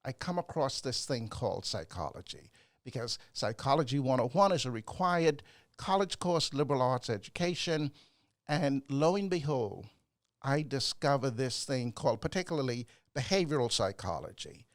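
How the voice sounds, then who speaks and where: An older man from the Bahamas, a psychologist guest, telling his story in a measured, gracious way and repeating words as he builds a point. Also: mid-range voice, expressive, dry recording, clean studio sound. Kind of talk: conversational storytelling.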